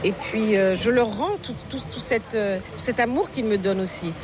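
Une femme d'âge moyen, romancière originaire de Paris, répond en entretien de façon réfléchie et hésitante, avec des « euh » et des reprises fréquentes. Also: female voice, on the high side, moderate pace. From Paris, France. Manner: reflective, hesitant with frequent fillers and restarts. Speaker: novelist guest. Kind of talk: casual interview conversation. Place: outdoor market, among people. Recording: busy crowd noise outdoors. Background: crowd chatter, passing vehicles.

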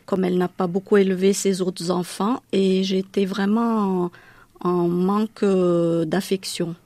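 A woman's voice: medium pitch, little pitch movement, a little slow, dark tone, no room sound. A woman from Madagascar whose first language is Malagasy, telling her life story in an interview, hesitant, with many fillers and restarts.

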